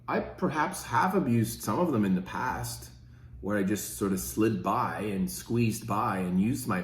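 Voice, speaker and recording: A man's voice: on the low side, a little mellow, roomy sound. A fifty-year-old man from Switzerland, talking casually and rambling with many fillers in his own opinion video. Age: 50s. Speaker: podcast host.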